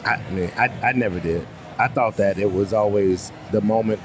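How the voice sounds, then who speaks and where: A man's voice: fairly low pitch, normal speed. A man, a former player co-hosting, talks casually about game mindset and often repeats himself when he starts a thought. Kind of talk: casual sports chat. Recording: noisy postgame stadium setting.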